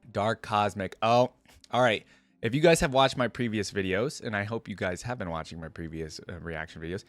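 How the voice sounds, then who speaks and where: A man's voice: medium pitch, dry recording. Casual man reacting and commenting, dry sound. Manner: informal, musing, thinking aloud. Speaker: YouTube reaction host.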